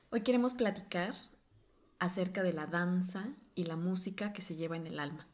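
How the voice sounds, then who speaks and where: A woman's voice: fairly low pitch, darkish tone. A woman hosting a podcast, asking her guest questions in a casual, curious way. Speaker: podcast host.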